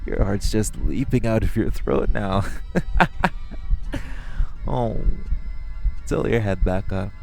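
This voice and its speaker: Guy acting as a smug vampire flirt, slow deep drawl, intimate audio rp, music behind. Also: darkish tone. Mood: taunting, amused. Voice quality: low, gravelly voice. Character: teasing, seductive male vampire, smug but protective.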